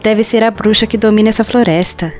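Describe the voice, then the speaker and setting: A woman's voice: mid-range voice, steady tone, a little fast, darkish tone, soft background music, natural voice. Woman playing a tiny pleading forest fairy. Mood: tired, gentle. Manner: pleading, earnest, formal storybook speech. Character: tiny hungry female forest fairy, pleading, grateful and gentle. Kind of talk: audio drama fantasy acting.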